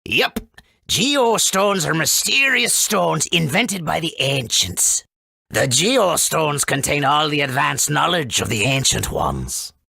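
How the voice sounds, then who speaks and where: A man voicing a proud, helpful, folksy forest creature in a video game, with a raspy, creaky voice. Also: on the high side, big pitch swings, slow, pushed and bright, no room sound, studio quality, heavily acted. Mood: proud, explanatory. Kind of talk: video game character acting.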